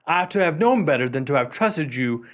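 A man playing a covetous, easily affronted gentleman collector in a radio play, theatrical and emphatic. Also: a little high, expressive, a little fast. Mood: indignant. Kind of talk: old-fashioned radio play acting.

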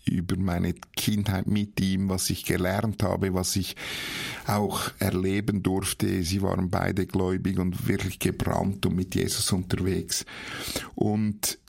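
Very gravelly, husky Swiss German man. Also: low-pitched, little pitch movement, a little slow, a little mellow. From Switzerland. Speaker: interview guest, theologian and author. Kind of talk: personal storytelling in an interview.

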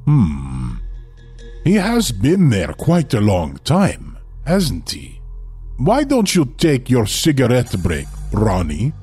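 Sly vampire shopkeeper, very slow and hypnotic, lively intonation. Audio drama. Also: male voice, mid-range voice, breathy edge, soft background music, full character voice. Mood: smooth, polite. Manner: measured, hypnotic, insinuating. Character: suave, slow-talking male vampire shop manager, sly and predatory.

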